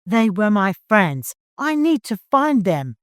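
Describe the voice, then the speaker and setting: A narrator acts a strong, defiant woman in an audiobook. She speaks bluntly and forcefully, with a fierce temper. The sound is dry and close. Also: mid-range voice, very animated, takes it slowly, dry recording, pitched above the performer's own voice. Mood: enraged. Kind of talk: audiobook character acting.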